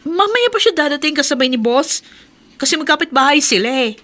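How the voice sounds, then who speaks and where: A snide, bossy office colleague in a radio drama. Her voice is fairly high and piercingly bright, with a scornful, nagging delivery. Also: very animated, fairly quick. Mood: scheming. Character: snide, envious, bossy female office co-worker. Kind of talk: Tagalog radio drama acting.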